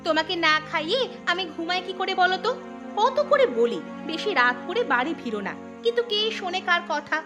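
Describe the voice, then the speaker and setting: A woman's voice: extremely high pitch, sing-song, brisk, sharp tone, faint music behind, heavily acted. A woman voicing a nagging, disdainful village wife in a cartoon folk tale, scolding and exasperated in manner.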